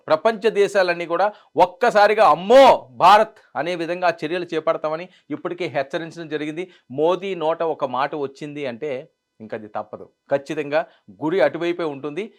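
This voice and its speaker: A man's voice: fairly high pitch, a little fast, a bit bright. A man delivering news commentary in an emphatic way, repeating phrases for stress. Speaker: news presenter.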